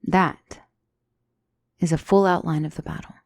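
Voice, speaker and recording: A woman playing a gentle demonic tutor in a scripted ASMR roleplay, speaking softly and deliberately as a calm battle mentor. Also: sits low, no room sound, clean studio sound. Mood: calm, instructive. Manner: measured, deliberate, soft-spoken, instructive.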